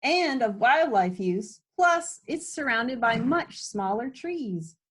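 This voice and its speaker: A woman voicing an inquisitive, excited young schoolgirl in a children's storybook, eager and full of wonder. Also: on the high side, slow pace, breathy edge, pitched above the performer's own voice, full character voice.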